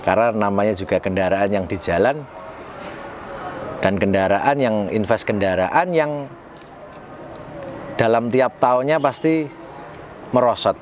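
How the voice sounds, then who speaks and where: A man's voice: fairly low pitch, lively intonation, measured pace, pauses often, slight background noise. A man in his twenties, a bus company owner, answering interview questions politely with some hesitation. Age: 20s. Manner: polite, hesitant, laughs softly. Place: garage.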